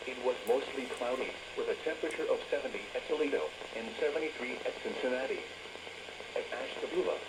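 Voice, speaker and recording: Noisy radio broadcast, synthetic American male announcer. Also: mid-range voice. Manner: flat, measured synthetic delivery. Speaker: automated weather radio announcer. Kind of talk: scripted weather bulletin reading. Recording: noisy weather radio broadcast, strong static.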